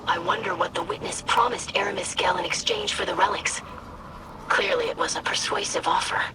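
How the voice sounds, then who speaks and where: An actress playing an earnest, studious young alien in a video game, formal, precise and breathy. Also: female voice, lowish voice, a little fast, noisy background, close to an everyday voice. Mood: earnest, concerned. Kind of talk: video game character acting.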